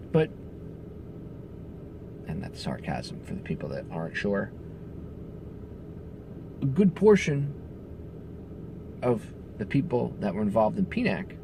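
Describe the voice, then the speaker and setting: A man delivers an angry, profane political rant, leaning on sarcasm and blunt emphasis. His pitch leaps up and down as he presses each point. A faint hiss sits under the recording. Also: darkish tone. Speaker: political commentary host. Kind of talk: ranting commentary.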